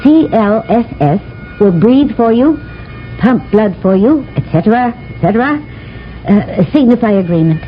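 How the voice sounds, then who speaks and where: An actress playing a brisk space service lieutenant reciting regulations in a radio drama. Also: female voice, fairly high pitch, expressive, lightly acted. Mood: brisk, procedural. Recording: muffled vintage radio recording, music behind.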